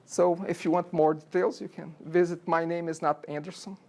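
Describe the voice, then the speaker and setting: A man's voice: a little high, level tone, normal speed, creaky, a little mellow. Brazilian-accented man lecturing a class. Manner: chatty, checks in with frequent "okay?" and rhetorical questions. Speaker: college programming instructor. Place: university classroom.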